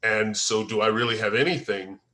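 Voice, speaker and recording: A man leading a discussion of a script on a video call, explaining in a deliberate way. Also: lowish voice, steady tone, bright, forceful tone, rough recording. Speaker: playwright leading a table-read feedback session. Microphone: video call microphone.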